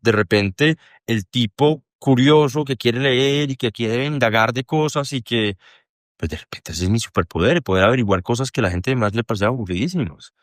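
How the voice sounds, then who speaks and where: A man's voice: mid-range voice, lively intonation, normal speed, no room sound, clean studio sound. A young man with a Colombian accent, a podcast guest talking candidly and at length, rambling and self-deprecating, swearing freely. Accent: Colombian Spanish. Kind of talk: candid casual conversation.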